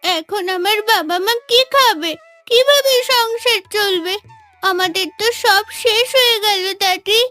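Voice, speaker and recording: A woman's voice: very high-pitched, measured pace, sharp tone, soft background music, exaggerated voice. A woman voicing an earnest, innocent little village girl in a fairy-tale cartoon, with a thin childlike voice. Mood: tearful, earnest.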